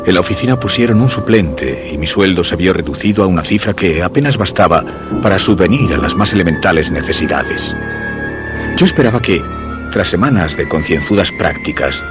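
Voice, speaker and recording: An actor playing an ailing, suspicious husband who narrates his macabre tale in a Spanish radio drama, measured and literary, with a Castilian accent. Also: deep voice. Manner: measured, literary, brooding delivery.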